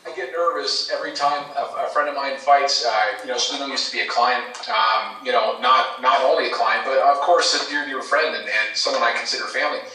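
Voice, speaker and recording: A man's voice: mid-range voice, fairly even intonation, a little fast, hardly any pauses, bright, forceful tone. A man, a guest and former fight manager, chatting casually and effusively about sports in a rambling way. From Canada. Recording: echoey, distant from the mic.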